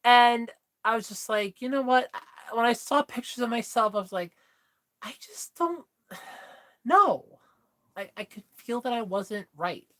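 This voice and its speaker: A woman's voice: a little high, unhurried, pauses often, a bit bright. A woman in her thirties chatting candidly with viewers on a livestream, telling a personal story in a rambling way full of fillers. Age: 30s. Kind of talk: casual chat with viewers.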